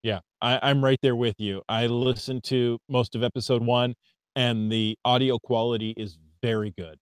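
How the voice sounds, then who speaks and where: American guy cohosting a podcast, chatty and upbeat, dry close mic, middle pitch. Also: little pitch movement, normal speed, clean tone, dry recording. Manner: upbeat, reads listener emails aloud, stumbles on foreign words. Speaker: podcast co-host. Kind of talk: casual podcast chat.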